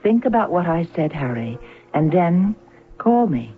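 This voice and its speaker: A thin, band-limited radio recording with faint music carries a cool, possessive woman. She phrases things formally and deliberately, a guarded sweetheart from an old radio play who quietly makes demands. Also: lowish voice, big pitch swings, phone-quality sound. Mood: cool, reproachful. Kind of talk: old-time radio drama acting.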